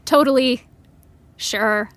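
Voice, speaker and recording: Casting for audio drama: polite, nervous fangirl, high-pitched, slow and hesitant, natural dialogue. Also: fairly bright tone. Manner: hesitant, halting, politely deferential. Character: nervous, polite female fan with private indignation.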